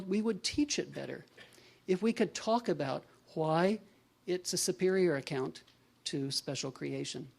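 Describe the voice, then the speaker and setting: A male professor giving a measured, scholarly lecture and answering questions. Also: on the high side, creaky, a little mellow. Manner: measured, scholarly, thinks aloud with restarts.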